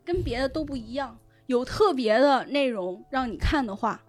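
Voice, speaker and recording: A woman speaking as a podcast guest in casual chat, explaining things in an animated way with frequent check-in questions. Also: high voice, moderate pace, dry recording, soft background music. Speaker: guest, novel recommender and editor.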